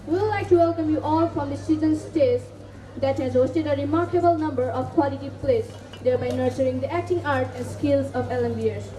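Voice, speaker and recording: A woman's voice: high-pitched, a little fast. A young woman hosting a stage event, giving a formal, ceremonious scripted welcome speech. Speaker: event host. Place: school stage in a hall, audience behind. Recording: echoey hall, distant mic, crowd noise.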